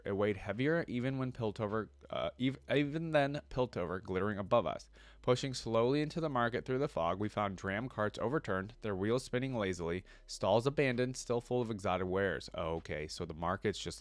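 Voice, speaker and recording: Guy w a lowish voice reading lore out loud n chatting about it, super dry close mic. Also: no room sound. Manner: casual, breaks off from reading to think aloud. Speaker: reaction video host. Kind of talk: reading a story aloud with casual reaction commentary.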